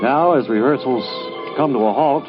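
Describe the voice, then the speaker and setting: A man announcing a scripted old-time radio serial with dramatic, measured grandness. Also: mid-range voice. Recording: dull vintage radio recording. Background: loud music.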